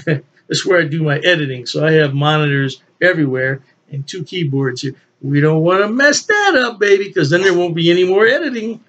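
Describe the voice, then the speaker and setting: An older man talks through a beer tasting in a relaxed, folksy way, chuckling to himself as he goes. His voice sits a little high for a man. The webcam sound is somewhat dull and lo-fi. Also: a little fast. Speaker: beer reviewer. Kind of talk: casual solo video review. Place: home office with beer memorabilia, indoors. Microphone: webcam at desk.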